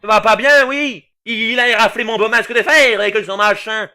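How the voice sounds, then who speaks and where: A man's voice: sits high, fairly even intonation, brisk, bright, forceful tone, heavily acted. A man playing a gleeful, vain villain knight in a comic anime parody dub, pompous and mock-dramatic. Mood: indignant. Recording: faint music behind the voice.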